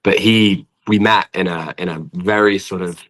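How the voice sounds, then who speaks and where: A young man with a British accent and a low-pitched voice that still sounds bright and forward. He talks casually as an interview guest, wandering through his sentences in an informal way. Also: fairly even intonation, moderate pace. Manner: rambling, informal, frequent "like". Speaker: guest singer-songwriter. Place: at home in an apartment.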